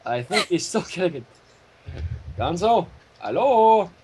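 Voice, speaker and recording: A man co-hosting a livestream, reading fan fiction in an animated way mixed with casual chat. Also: sits high, unhurried, breathy edge. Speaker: livestream co-host and story reader.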